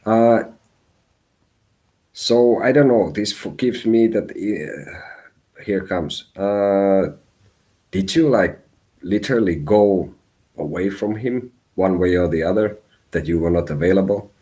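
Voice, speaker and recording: A man from Finland whose first language is Finnish, giving a live tarot reading in halting English as he searches for words. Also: low voice, mellow. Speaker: tarot reader.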